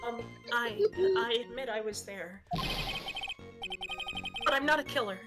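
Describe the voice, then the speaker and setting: A woman's voice: fairly high pitch, lively intonation, a little slow, music in the background. A woman voicing a nervous, earnest young male student on trial, hesitant and flustered, in a game read-along. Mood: defensive. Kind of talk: video game voice-over on livestream.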